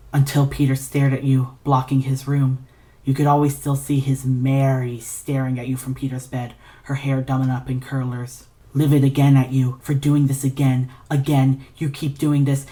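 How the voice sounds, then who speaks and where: A woman with a low-pitched voice narrates intimate literary fiction. Her delivery is intense and deliberate, leaning on repeated phrases for dramatic weight. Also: mellow. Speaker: audiobook-style reader of fan fiction.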